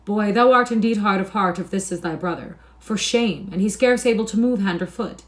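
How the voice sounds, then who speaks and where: A woman reading aloud and voicing a soft-hearted gentleman stranger, flustered and pitying. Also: mid-range voice, brisk, voice pushed up, close to an everyday voice. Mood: indignant.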